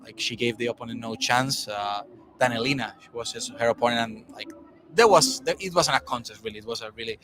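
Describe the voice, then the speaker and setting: A man co-hosting a tennis podcast, talking through the day's results in a loose, rambling way. His voice sits at a middling pitch, and a faint ambient hum lingers behind him. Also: brisk. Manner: rambling, many restarts and fillers. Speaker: tennis podcast co-host reporting on site. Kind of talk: casual conversational analysis.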